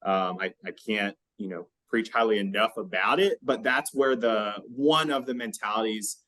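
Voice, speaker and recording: A man hosting a podcast, explaining exercise science in a conversational, halting way with frequent check-in questions. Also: lowish voice, a bit bright, dry recording.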